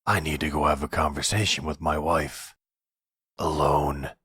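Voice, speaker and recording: A man's voice: level tone, measured pace, a bit bright, no echo, clean studio sound, full character voice. A man playing a possessive, delusional middle-aged mafia boss and husband in an ASMR roleplay, speaking with condescending, menacing tenderness. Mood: jealous, threatening. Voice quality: very deep, husky.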